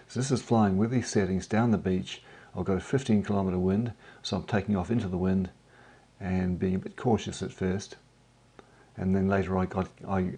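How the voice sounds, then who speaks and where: A man with a cold and a croaky, husky voice, casually narrating his drone hobby video with frequent ums and uhs. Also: low voice. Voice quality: gravelly, husky. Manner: relaxed, hesitant, many ums and uhs.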